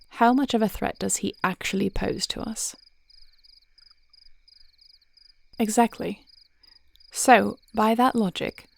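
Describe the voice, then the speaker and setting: A woman playing a devoted warrior princess in an intimate fantasy audio roleplay, speaking in measured, formal phrases with a reassuring, thoughtful manner. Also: mid-range voice, creaky, darkish tone, close to an everyday voice. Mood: confident, reassuring. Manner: measured, articulate, formal old-fashioned phrasing.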